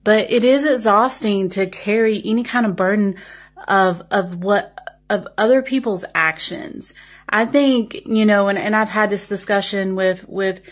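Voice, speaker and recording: A woman's voice: mid-range voice, fairly even intonation, unhurried. A woman with a Southern American accent and a slight speech impediment, talking earnestly and carefully in a reflective personal way. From Southern United States. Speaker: social media video responder. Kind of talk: reflective personal talk to camera.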